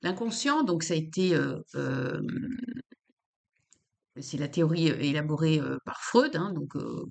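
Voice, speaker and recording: A woman's voice: sits low, animated, measured pace, gravelly, long pauses. A woman from France, a psychopractitioner explaining psychology in an informal talk to camera, hesitant with many fillers and repeated words.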